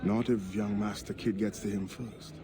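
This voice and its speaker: An actor playing a proud, principled former slave turned pirate crewman from Trinidad in a video game, speaking in earnest, measured, formal phrases. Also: lowish voice, steady tone, close to an everyday voice. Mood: disapproving. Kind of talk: video game cutscene acting. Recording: clearly noisy ship ambience behind voice.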